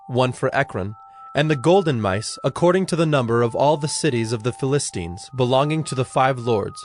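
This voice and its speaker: A man narrating scripture from a script in a measured, solemn storytelling manner. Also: mid-range voice, a little hiss or hum.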